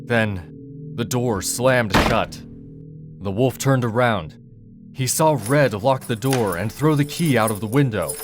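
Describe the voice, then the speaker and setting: A man reading a dark fairy tale as a dramatic, scripted storyteller. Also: medium pitch, slow. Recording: music behind the voice.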